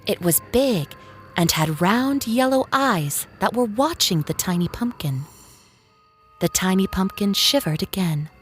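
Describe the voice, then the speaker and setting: Female storyteller for preschool fiction: unhurried, animated intonation, gentle narration over background music. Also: mid-range voice. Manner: expressive, gentle, measured storytelling for young children. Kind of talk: scripted children's story narration.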